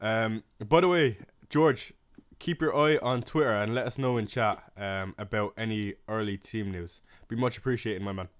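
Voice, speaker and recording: A young man with a Waterford Irish accent chats loosely with his livestream audience. He sounds relaxed and friendly, full of fillers and small laughs as he reads comments and gives quick opinions. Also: lowish voice, clean tone. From Ireland. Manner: chatty, laid-back, frequent fillers and soft laughs. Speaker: fantasy football livestream host. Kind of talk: casual live-stream chat reading comments.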